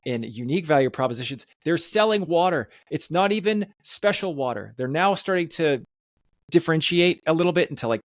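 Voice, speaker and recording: A man's voice: on the high side, animated, brisk, dry recording, studio quality. A man hosting a podcast, chatting casually in an emphatic, enthusiastic way. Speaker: podcast host.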